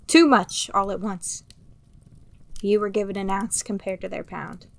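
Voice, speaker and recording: Creaky, wry, weary streetwise woman. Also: mid-range voice, fairly even intonation, measured pace. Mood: wry, reassuring. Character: weary, streetwise female underworld survivor, reassuring and wry. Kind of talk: audio drama acting.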